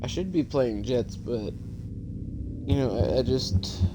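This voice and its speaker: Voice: medium pitch, animated, slow pace, background noise. A streamer chatting casually and playfully while gaming, rambling and swearing freely. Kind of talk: casual live-stream chat. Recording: loud game music behind voice. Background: game music.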